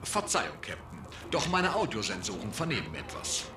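A man's voice: on the low side, huge pitch swings, moderate pace, heavy background noise. A man playing a dutiful android crewman in a German audio drama, speaking formally and obediently. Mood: formal, alert. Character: dutiful male android crewman with infrared vision and audio sensors.

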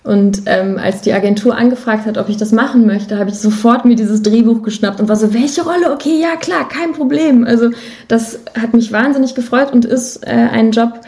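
A woman's voice: fairly high pitch, normal speed, a little mellow. A young woman chatting casually as an interview guest, enthusiastic and emphatic in the way she talks. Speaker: interview guest, musician and voice actor.

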